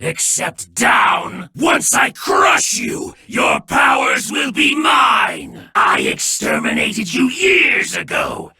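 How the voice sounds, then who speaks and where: An actor voicing a sadistic, arrogant villain duelist in an animated card game, theatrically menacing and gloating as he draws out his declarations. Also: high voice, takes it slowly, few pauses, shouting, far from the mic, heavily acted. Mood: gloating menace. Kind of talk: animated game battle voice lines.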